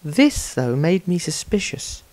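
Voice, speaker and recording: A man's voice: fairly high pitch, big pitch swings, a little slow, darkish tone, no room sound. A man reading scripted fantasy narration as a dramatic storyteller. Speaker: author reading his own story.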